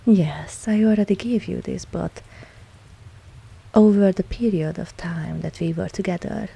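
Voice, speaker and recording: A woman playing a dominant, possessive vampire mistress in an intimate ASMR audio roleplay, cool and seductive, speaking deliberately and often dropping into a whisper. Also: fairly low pitch, vocal fry, dark tone, breathy edge, exaggerated voice. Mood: resigned, cool. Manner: slow, deliberate, commanding, teasing.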